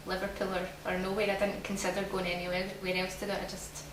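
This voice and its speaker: A young Scottish woman answers questions in a steady, very flat voice that sits a little low. She sounds distant and echoey, with clear noise behind her. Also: fast talker. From Glasgow, Scotland. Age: young adult. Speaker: student interviewee. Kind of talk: casual interview answers. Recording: echoey room, from afar, noisy.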